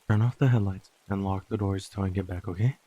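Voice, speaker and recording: A man playing a cautious husband in an audio horror story, speaking in a grave, measured way. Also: deep voice, steady tone, mellow, lo-fi sound. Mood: worried, practical. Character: cautious husband stranded in a storm.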